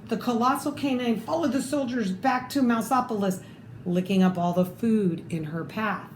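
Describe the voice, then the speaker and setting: A woman's voice: fairly high pitch, normal speed, a little mellow. A woman reading a children's storybook aloud expressively, adding little asides to the listener. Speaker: storybook reader. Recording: roomy echo, clear background noise.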